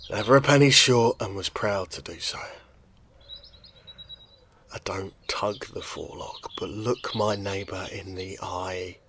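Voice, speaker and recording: A man playing a proud, dignified working-class man, measured and quietly defiant, in a dramatic monologue. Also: deep voice, slight background noise, full character voice.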